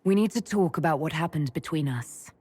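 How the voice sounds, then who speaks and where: Composed, low-voiced elf leader. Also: female voice, animated, a little fast, a little mellow, husky. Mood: flirtatious. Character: calm, self-assured female elf mage leader. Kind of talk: video game dialogue acting.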